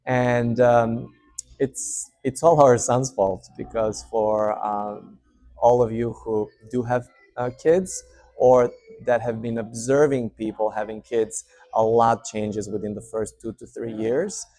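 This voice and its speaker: A man in his thirties from Serbia with a Serbian accent, hosting his channel and talking straight to camera in a relaxed, unscripted way with many fillers. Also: medium pitch, slow pace, dry recording. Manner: warm, hesitant, many fillers. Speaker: channel host. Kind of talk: casual unscripted update to camera. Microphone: speaking to camera.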